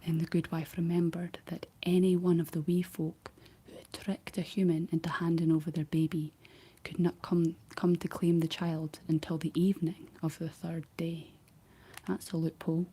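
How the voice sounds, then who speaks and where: A woman's voice: fairly low pitch, little pitch movement, normal speed, slightly rough. Whispering woman reading a story. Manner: soft whisper with playful asides.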